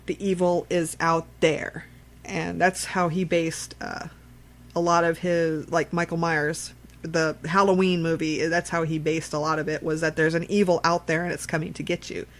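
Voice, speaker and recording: A woman's voice: low voice, flat delivery, moderate pace. A woman co-hosting a podcast in casual conversation, blunt and salty-tongued, retelling things with animation and repeated phrases. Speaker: podcast co-host. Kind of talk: casual film discussion.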